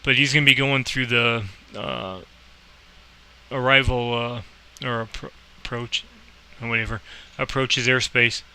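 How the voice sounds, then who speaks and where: A man talks through a simulator game in a very bright, sharp voice that stays fairly flat in pitch. He is informal and rambling, thinking aloud between clipped controller calls, and his tone is clean and clear. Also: unhurried. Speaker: host explaining a game and playing air traffic controller. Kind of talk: casual screen-recorded game tutorial with radio calls.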